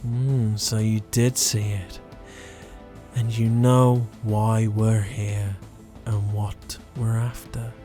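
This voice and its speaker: An actor playing a zealous, smug holy inquisitor in an audio drama, speaking in a pompous, deliberate, formal manner. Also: male voice, lowish voice, level tone, mellow, faint music behind. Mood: stern, demanding. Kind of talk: dramatic audio roleplay acting.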